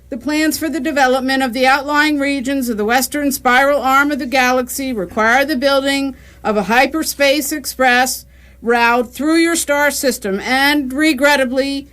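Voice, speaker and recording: A woman's voice: high-pitched, a bit bright. A woman playing an officious, condescending, impatient alien bureaucrat in a comic science fiction radio play. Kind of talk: radio comedy drama acting.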